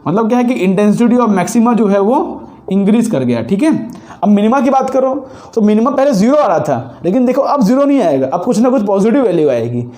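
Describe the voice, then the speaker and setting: High-pitched man with a sore throat. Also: big pitch swings, a little fast, warm tone. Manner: explains step by step, repeats key points, mixes Hindi and English. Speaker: physics teacher. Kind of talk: solving exam problems on a board.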